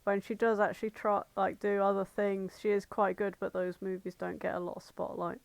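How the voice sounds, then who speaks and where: A woman's voice: mid-range voice, little pitch movement, brisk, gravelly, no room sound. A woman co-hosting a podcast, chatting in a casual, informal way. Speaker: podcast co-host.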